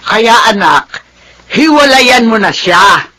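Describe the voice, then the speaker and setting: A feisty elderly Filipino mother in a radio drama. Her voice is high, sharp and swings widely as she scolds and defends her family with combative force. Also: expressive, slow pace, exaggerated voice, low-quality recording. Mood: indignant scolding. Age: older.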